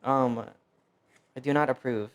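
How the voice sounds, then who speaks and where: A young man streams himself cooking and chats as he goes. His voice sits at an ordinary middle pitch, and his talk is loose and rambling, full of fillers and wry jabs at himself. He grew up in the United States, and his first language was Fuzhounese. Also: fairly even intonation, a little slow. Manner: rambling, filler-heavy, self-deprecating. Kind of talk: casual cooking stream chat.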